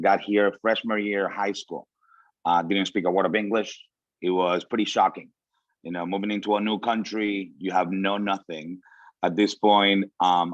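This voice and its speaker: A man of thirty-eight from Venezuela with a lowish voice, speaking as a guest to young people. His native language is Spanish, and he drives his points home by repeating them. The sound is close and dry but somewhat muffled. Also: no echo. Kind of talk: informal motivational talk to students. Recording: dull, lo-fi audio.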